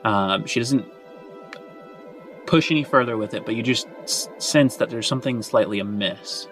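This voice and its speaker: A man's voice: mid-range voice, normal speed, fairly bright tone. A man narrating a tabletop role-playing game as its game master. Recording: muffled band-limited audio under music.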